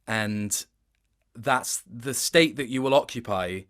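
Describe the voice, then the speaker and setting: Halting, thoughtful man in an interview. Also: mid-range voice, a bit bright. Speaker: interview guest, voice actor. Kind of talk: casual interview chat.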